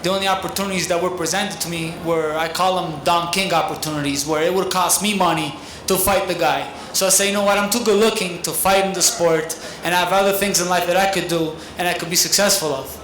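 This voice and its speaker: A man's voice: high-pitched, steady tone, fast talker, hardly any pauses, a bit bright. A man, speaking earnestly at a podium as a boxer at a press conference. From Italy. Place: large arena press conference stage. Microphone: podium microphone. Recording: echoey hall with noise.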